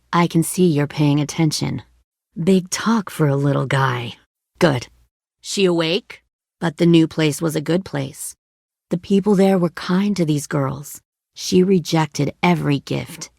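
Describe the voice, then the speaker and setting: A woman's voice: low-pitched, creaky, a little mellow, dry recording, clean studio sound, full character voice. An actress voicing a ruthless, hardened woman who leads a survivor militia in a video game drama, speaking in a controlled, menacing and persuasive way.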